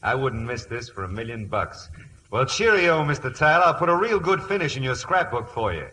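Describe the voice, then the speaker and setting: An actor playing a sarcastic, menacing American schemer who talks in folksy slang, in old-time radio comedy. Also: male voice, mid-range voice, very animated, brisk, bright, forceful tone. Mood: gloating menace. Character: gruff, sneering male small-town schemer threatening exposure. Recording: faintly noisy vintage radio recording.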